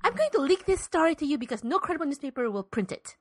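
A woman's voice: high-pitched, sing-song, fast, dry recording, voice pushed up. A woman playing a sulky, blunt female reporter in a spoof radio play. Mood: sulky, flat statements.

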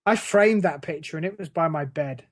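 A man telling comic stories casually on a podcast, in a halting, self-mocking, sweary way. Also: fairly high pitch, no room sound, clean studio sound. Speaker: podcast co-host.

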